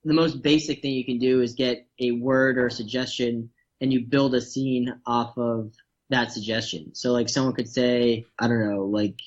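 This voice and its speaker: A man's voice: medium pitch, little pitch movement, moderate pace, lo-fi sound. A man from North America, a guest comedian, chatting casually and rambling with many fillers. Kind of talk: casual podcast chat.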